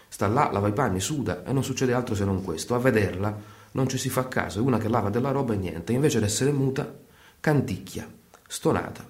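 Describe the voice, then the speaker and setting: A man's voice: fairly low pitch, darkish tone. A man narrating radio theatre as a storyteller, colloquial and dramatic, in clipped short phrases. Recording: roomy, echoing sound.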